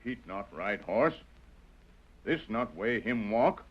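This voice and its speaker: Tonto, slow-spoken tracker, halting broken English. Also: male voice, fairly low pitch, animated, breathy edge, heavily acted. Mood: terse, observant. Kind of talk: 1940s radio drama acting. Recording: noisy lo-fi old radio recording.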